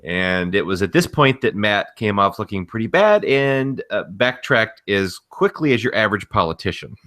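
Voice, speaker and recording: A man's voice: fairly low pitch, animated, normal speed, fairly bright tone. A man co-hosting a podcast, giving casual commentary with a dry, wry delivery. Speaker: podcast co-host. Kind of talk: casual commentary, reading out tweets.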